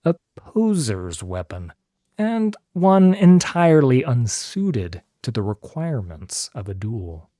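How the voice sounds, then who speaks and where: A man narrating a scripted fantasy story as an audiobook narrator, with deliberate, dramatic phrasing. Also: medium pitch, big pitch swings, warm tone, dry recording, studio quality.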